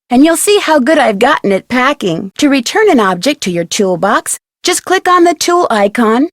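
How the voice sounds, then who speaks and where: Chirpy young girl detective from a pc game, super lively pitch, friendly n curious, dry close sound. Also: no echo. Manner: chirpy, articulate, friendly instructing delivery. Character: perky, polite, inquisitive young woman detective. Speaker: game protagonist and tutorial guide. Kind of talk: scripted video game voice acting.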